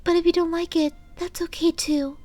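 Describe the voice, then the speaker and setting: A woman voicing a timid, sweet pegasus pony with a soft, hesitant, halting manner for a storybook reading. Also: very high-pitched, flat delivery, voice pushed up. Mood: nervous, tender. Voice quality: soft, faint, breathy. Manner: hesitant, shy, halting with ums.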